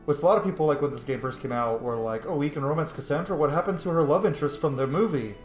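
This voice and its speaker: A video game player with a mid-pitched voice, giving casual, silly, informal commentary while roleplaying. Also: quick pace, reverberant. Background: faint video game music.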